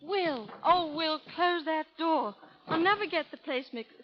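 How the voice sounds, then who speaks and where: High-voiced, anxious frontier wife. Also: female voice, animated, unhurried. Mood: fretful. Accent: American. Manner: earnest, plaintive. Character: genteel, anxious young frontier wife, pleading. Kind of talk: 1930s-40s radio drama acting. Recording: noisy, dull vintage radio recording.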